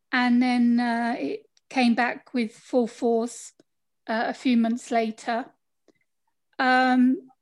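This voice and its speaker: A middle-aged woman from the UK with a British accent, telling her story as a guest in a casual interview over a video call, chatty and laughing often. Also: fairly high pitch. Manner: chatty, laughs often, acts out quoted people. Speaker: podcast guest.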